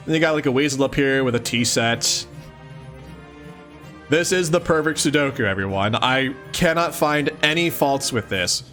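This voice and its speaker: A man's voice: medium pitch, moderate pace, fairly bright tone, faint music behind. A man reading aloud and commenting casually, with an amused, exclaiming, playfully sarcastic manner. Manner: amused, exclaiming, often chuckling. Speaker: host of a let's-read series.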